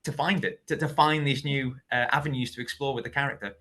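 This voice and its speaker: Bright-voiced actor chatting earnestly. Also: male voice, mid-range voice, steady tone, fast. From Liverpool, England. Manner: earnest, thoughtful, with frequent repeated words and restarts. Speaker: stage actor, interview guest. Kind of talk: conversational interview.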